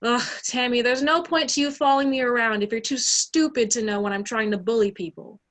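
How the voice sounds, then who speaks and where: A young woman in an amateur stage play, acting a bossy high school bully with exaggerated mock sympathy. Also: fairly quick, a bit bright, close to an everyday voice. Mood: exasperated. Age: young adult. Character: snide, bossy high school mean girl.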